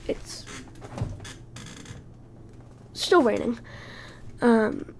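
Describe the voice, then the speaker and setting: Lady podcast host who lost her voice, raspy gravelly whisper-y, kinda high, stop-start talking. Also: animated, slight background noise. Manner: halting, breathy, often drops to a whisper. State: hoarse, lost voice. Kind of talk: casual solo podcast talk.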